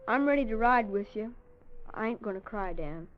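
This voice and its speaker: A woman's voice: fairly high pitch, animated, moderate pace, over loud music, close to an everyday voice. A woman playing a stoic, determined thirteen-year-old American ranch boy in a terse old-time radio western style. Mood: stubborn, holding back tears. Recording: muffled, noisy old radio recording.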